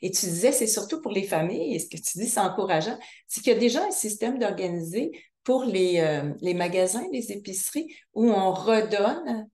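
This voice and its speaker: A woman's voice: medium pitch, expressive, normal speed, mellow. A woman interviewing a guest, reflective and earnest as she sums up what she has heard. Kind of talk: conversational interview. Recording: echoey room.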